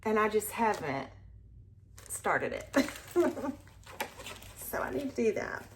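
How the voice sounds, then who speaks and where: A woman's voice: mid-range voice, big pitch swings, slow, a little hiss or hum. A woman hosting a cross-stitch video, chatting casually and with enthusiasm about her projects, sometimes dropping to a near whisper. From Alabama, US. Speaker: cross-stitch channel host. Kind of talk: casual show-and-tell chat.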